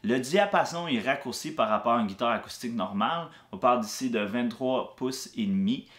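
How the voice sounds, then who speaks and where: A man giving a casual product review of a guitar, relaxed and hesitant with frequent fillers. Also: mid-range voice, brisk. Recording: echoey room.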